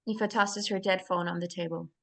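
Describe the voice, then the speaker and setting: A woman's voice: on the low side, fairly even intonation, normal speed, vocal fry, a bit bright. A woman reading scene directions as narrator at a live screenplay table read.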